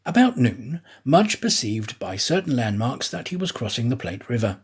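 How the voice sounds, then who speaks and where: A man narrates an adventure story in the manner of an audiobook actor. His mid-pitched voice has a husky edge, and his intonation rises and falls widely. The delivery is deliberate and dramatic, shaped for storytelling. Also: sing-song.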